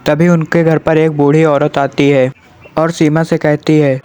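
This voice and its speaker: A man's voice: on the high side, flat delivery, unhurried, darkish tone, smooth. A man narrating a cartoon story in a scripted storytelling style.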